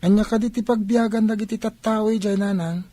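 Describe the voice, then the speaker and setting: Casting: performer as hard-up, earnest pupil, Filipino radio drama, mellow and gently plaintive. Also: mid-range voice, fairly even intonation, a little fast, natural voice. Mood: curious, hopeful. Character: earnest, hard-up schoolchild pleading with mother.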